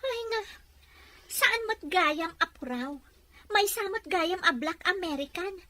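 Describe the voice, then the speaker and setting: A woman's voice: very high voice, expressive, unhurried, pushed and bright, heavily acted. A woman playing a sulky, whiny young daughter in a radio serial. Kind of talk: Philippine radio serial acting.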